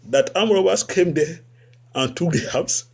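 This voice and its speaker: Gravelly Nigerian man, sarcastic host. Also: a little slow. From West Africa. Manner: sarcastic, repeats phrases, animated swings up in pitch. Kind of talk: satirical commentary.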